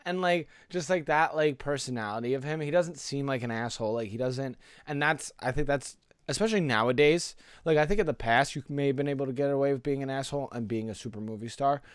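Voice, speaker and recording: A man's voice: medium pitch, fast, few pauses, smooth, no room sound, studio quality. A man co-hosting a casual pop culture podcast, talking in a slangy, rambling, enthusiastic way. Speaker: podcast co-host.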